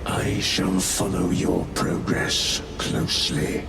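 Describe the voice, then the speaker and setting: An actor playing a stern male chaplain who speaks in a grave, measured way, recorded with a roomy echo from a distance. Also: deep voice, little pitch movement, vocal fry, music in the background, heavily acted. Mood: solemn. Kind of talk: video game cutscene acting.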